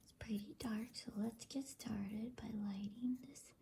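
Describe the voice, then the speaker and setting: A woman whispers softly and breathily in a gentle, informal, unhurried way, hosting an ASMR bedtime reading. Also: a little high, fairly even intonation, slight background noise. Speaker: bedtime story reader and host.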